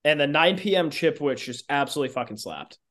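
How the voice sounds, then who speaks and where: A man's voice: a little high, lively intonation, quick pace, fairly bright tone. A man from the United States chatting casually as a podcast co-host. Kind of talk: casual chat.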